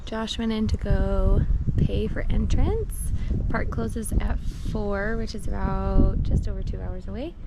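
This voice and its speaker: A woman's voice: medium pitch, measured pace. A woman chatting casually and playfully for a travel vlog, in an informal way. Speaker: travel vlogger. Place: in a moving vehicle and outdoors. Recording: noisy vehicle and outdoor ambience.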